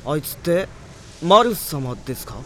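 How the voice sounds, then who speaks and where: Boyish young mage, courteous, sincere, higher register, radio drama. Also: male voice, sing-song, normal speed, slight background noise. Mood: earnest. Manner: polite, earnest.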